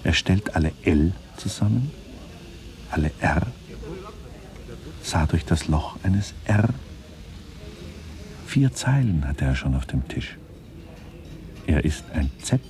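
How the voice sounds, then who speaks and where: Radio play narrator: extremely low, dark, mellow, slow and deadpan, observing in clipped fragments. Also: male voice, heavily acted. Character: detached male narrator minutely observing people.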